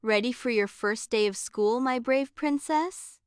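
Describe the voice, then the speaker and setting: A woman's voice: fairly high pitch, a little slow, clear, resonant tone, dry recording, studio quality, natural voice. A woman voicing a loving, fond queen mother in a children's bedtime story.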